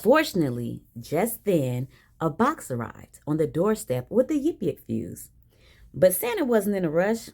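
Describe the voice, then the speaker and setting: A woman reading a children's picture book aloud in an expressive style with dramatic emphasis. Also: lowish voice, unhurried, gravelly, husky. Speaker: storybook reader. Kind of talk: animated children's read-aloud.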